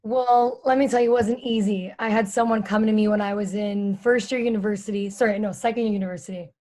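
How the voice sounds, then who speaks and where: A woman in her twenties with a raspy voice, a guest in a casual podcast interview, talking in chatty, candid run-on sentences. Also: medium pitch, steady tone, fast talker, a little mellow. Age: 20s. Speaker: guest, stadium and social media host.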